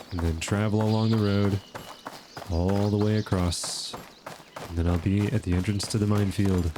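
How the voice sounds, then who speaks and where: A man with a lowish voice talks through a game in loose, rambling commentary, pausing on fillers as he thinks out loud. His nose sounds stuffed up and nasal. Steady game noise and ambience run clearly under his voice. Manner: chatty, thinking aloud with fillers. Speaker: gaming channel host. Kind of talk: casual gameplay commentary.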